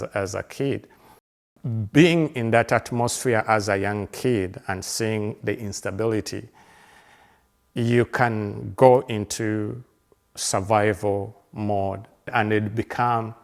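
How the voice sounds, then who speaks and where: Man in his fifties from Uganda, low gravelly voice, earnest, halting delivery full of fillers and restarts. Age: 50s. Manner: halting, with many fillers, restarts and repeated words; earnest and reflective. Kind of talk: reflective personal conversation.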